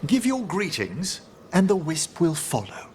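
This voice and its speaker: A man's voice: high-pitched, wildly swooping pitch, unhurried, a little mellow, a little hiss or hum, heavily acted. An actor playing a courteous, scholarly necromancer professor in a video game, a middle-aged man with formal, eloquent speech. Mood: calm, instructive. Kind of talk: video game character acting.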